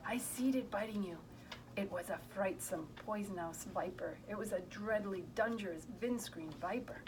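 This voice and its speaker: A woman's voice: mid-range voice, moderate pace, background noise, natural voice. A woman voicing a big, kindly, sly male giant in a storybook, with quaint broken grammar. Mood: urgent warning. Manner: quaint ungrammatical speech.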